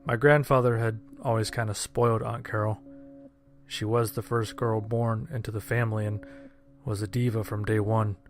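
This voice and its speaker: Male story narrator, lowish and flat, sardonic deadpan, quiet music bed. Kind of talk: scripted first-person horror-comedy story narration.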